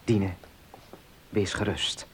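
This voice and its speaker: A man's voice: lowish voice, fairly even intonation, extremely slow, fairly bright tone. An actor playing a reassuring young man in love in a Dutch radio play. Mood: reassuring, fond. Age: young adult. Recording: old radio play, faint noise.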